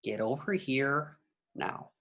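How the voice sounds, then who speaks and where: A woman's voice: a bass voice, slow, fairly bright tone, heavily acted. A woman playing a fussy, tyrannical middle-aged male office boss in a script read, clipped, pompous and condescending. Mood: angry, commanding. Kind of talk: amateur script table read.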